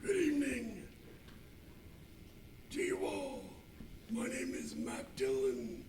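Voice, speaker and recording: Exaggerated cowboy waiter, wildly swooping slow delivery, amateur radio skit, distant mic, very noisy. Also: male voice, very high voice, wildly swooping pitch, reverberant, full character voice. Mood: showy, formal.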